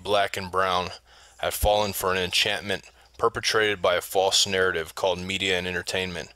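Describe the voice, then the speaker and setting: Very bright, deep-voiced man narrating. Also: no room sound, rough recording. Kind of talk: scripted narration, preachy.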